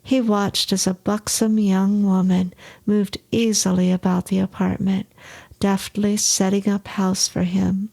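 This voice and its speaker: Slow-paced woman reading a short story. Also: medium pitch, flat delivery, creaky, warm tone. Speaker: story narrator. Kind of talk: scripted short story narration.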